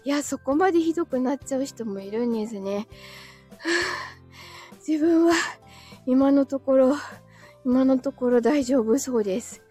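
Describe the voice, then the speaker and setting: A woman's voice: sits high, a little slow, long pauses, dark tone, husky, faint music behind. A woman live streamer chatting casually and answering comments, giggly, tired and a little short of breath after being ill. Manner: giggly, often breaking into small laughs.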